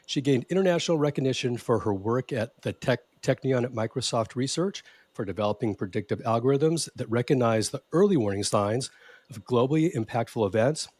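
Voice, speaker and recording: A man's voice: medium pitch, lively intonation, moderate pace, darkish tone, dry recording. Brief: upbeat male podcast host with a dry, close mic sound. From California, USA. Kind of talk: podcast interview.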